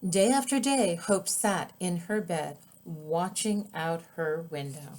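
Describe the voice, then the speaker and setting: A woman reading her own children's book aloud as storybook narration, with expressive, deliberate, drawn-out phrasing. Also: on the low side, a little hiss or hum.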